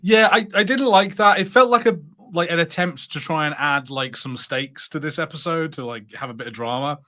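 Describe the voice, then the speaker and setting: Bright-voiced man in casual podcast chat. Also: on the high side. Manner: acts out imagined lines, halting starts. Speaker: podcast co-host.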